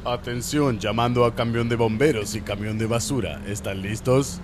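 A man voicing a heroic police car in a children's cartoon, calm, earnest and commanding. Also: medium pitch, moderate pace, very noisy, close to an everyday voice. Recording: loud car engines and skidding behind.

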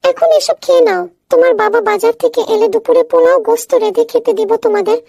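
Squeaky, creaky little mother bird. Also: fairly even intonation, quick pace, mellow, no echo, soft background music, heavily acted. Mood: busy, motherly. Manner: scolding, complaining. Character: nagging tiny mother bird, fed up with her husband.

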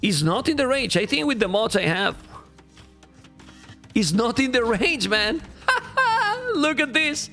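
Hoarse Spanish-accented male caster, lively swings in pitch, faint music under a home stream. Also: slow pace, pauses often. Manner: animated, repeats phrases, talks to chat. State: losing his voice. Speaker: game caster. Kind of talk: live game commentary to chat. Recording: faint game music behind.

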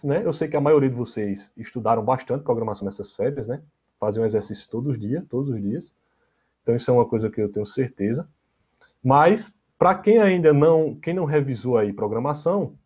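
A man's voice: mid-range voice, a little mellow, breathy edge. A Brazilian man giving an informal online programming lecture in a conversational way, often checking in with little question tags. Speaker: programming teacher. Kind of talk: informal online lecture with slides and live coding. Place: online class, screen share. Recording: dull, lo-fi online class audio.

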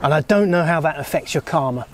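A middle-aged man presenting to camera outdoors beside a canal, in a relaxed, wry, conversational way. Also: fairly high pitch, fast talker. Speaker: birding vlogger.

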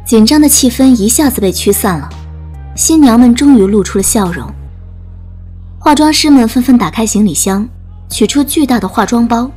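A woman's voice: a little high, dark tone, slightly rough. A woman narrating a scripted dramatic audiobook story. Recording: music bed under narration.

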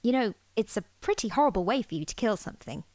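A woman's voice: on the high side, lively intonation, quick pace, a little mellow, no echo, lightly acted. A woman playing an anxious, melodramatic young student in an audio drama, prickly, squeamish and sarcastic. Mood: sarcastic, uneasy.

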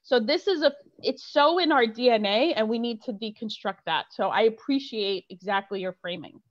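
A woman's voice: on the high side, moderate pace, lo-fi sound. A woman clergy member speaking passionately and emphatically in a panel discussion.

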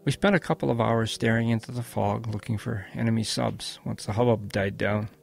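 Man playing a gravelly old grandfather storyteller. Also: on the low side, steady tone, fairly quick, darkish tone. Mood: reminiscing. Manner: folksy, reminiscing. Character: elderly grandfather recounting his wartime boyhood. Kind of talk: storytelling narration in a radio drama.

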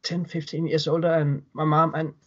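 A Danish-accented man in his thirties talks in a slightly high voice, stumbling and repeating words as he goes. He sits at home on a video call. The sound is dull and lo-fi. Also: level tone, moderate pace, slightly rough. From Denmark. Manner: hesitant, repeats words while recounting. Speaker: guest. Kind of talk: casual interview over video call. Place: home room indoors. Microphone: webcam on video call.

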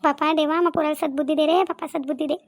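A woman's voice: high voice, fairly even intonation, racing. A woman playing an aggrieved middle-aged village mother-in-law in a cartoon comedy, complaining repetitively in a self-pitying way, with a Vidarbha Marathi accent. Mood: lamenting. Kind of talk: cartoon family comedy dialogue.

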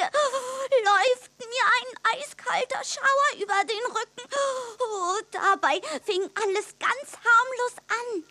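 Very high, perky little mouse. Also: female voice, sharp tone, full character voice. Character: perky, food-obsessed little male mouse, narrator and sidekick. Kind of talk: 1980s children's audio drama acting.

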